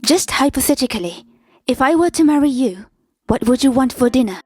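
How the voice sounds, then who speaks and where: A woman's voice: sits high, lively intonation, fairly quick, a little mellow, breathy edge, faint music behind. A woman playing a polite, persistent young office worker with a crush in a scripted manga dub. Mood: hopeful, probing.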